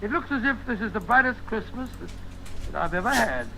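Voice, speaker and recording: A man playing a genial, courteous older gentleman storyteller in 1940s radio drama style, with a slightly halting delivery. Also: high voice, sing-song, gravelly, exaggerated voice. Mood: warm, thankful. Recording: noisy old radio broadcast recording.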